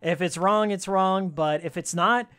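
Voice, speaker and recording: Game streamer, mid-pitched raspy voice worn from yelling; rambling, slangy, opinionated commentary with jokes. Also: a little slow. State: voice worn from screaming and ranting. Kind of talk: casual rambling gameplay commentary with fan trivia.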